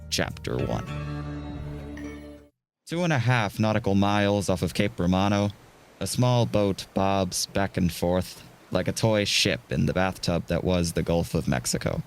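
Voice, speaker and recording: A man reading scripted narration for a dramatic audio story. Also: deep voice. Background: music.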